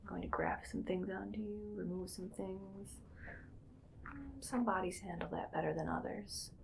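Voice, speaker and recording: A woman playing a bossy, musing female mad scientist in a whispered ASMR roleplay, speaking in a breathy, clinical, quietly menacing way. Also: medium pitch, fairly even intonation, slight background noise, low-quality recording. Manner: slow musing, clinical, domineering. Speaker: ASMR performer.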